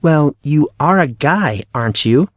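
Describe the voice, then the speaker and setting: A man's voice: fairly high pitch, lively intonation, unhurried, no room sound, studio quality, pitched above the performer's own voice. A man voicing a bossy, teasing teenage girl in an audio drama, speaking in a taunting, dramatic way. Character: brusque, teasing, secretive blonde teenage high school girl. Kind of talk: audio drama character acting.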